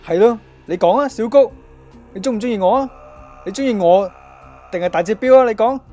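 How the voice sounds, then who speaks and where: A man's voice: sits high, fairly quick, warm tone, lightly acted. Man acting a swaggering lovestruck gang boss over music. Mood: agitated. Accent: Hong Kong. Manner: melodramatic, swaggering. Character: lovestruck boastful male gang boss in comic radio drama. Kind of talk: comic radio drama acting. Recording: music under the voice.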